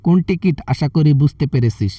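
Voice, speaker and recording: Man acting as scolding, threatening male politician, Bangladeshi accent, self-righteous, condescending, mellow dark tone, comic skit, slightly distant mic. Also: on the high side, animated, fairly quick, breathy edge, dry recording, clean studio sound. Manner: berating, repeatedly addressing the listener condescendingly. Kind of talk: comic prank-call skit.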